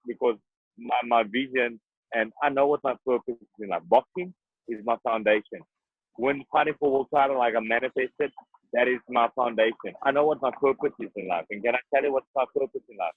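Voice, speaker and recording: Husky man on a tinny line. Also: mid-range voice, brisk, dry recording. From South Africa. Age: 30s. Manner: earnest, emphatic, repeats himself when moved. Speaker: boxer guest. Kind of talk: interview conversation. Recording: tinny phone-like dry sound.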